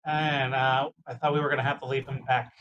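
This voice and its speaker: A man's voice: medium pitch, little pitch movement, fairly quick, a bit bright, highs cut off. A man in casual game chat as a tabletop player, with an explanatory way of talking.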